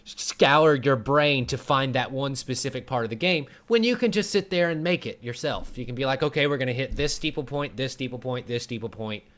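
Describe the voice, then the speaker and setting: A man hosts a relaxed-format interview chat in a medium-pitched voice. He speaks conversationally and leans on his points with emphasis, offering his own views as he goes. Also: brisk, hardly any pauses. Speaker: talk show host.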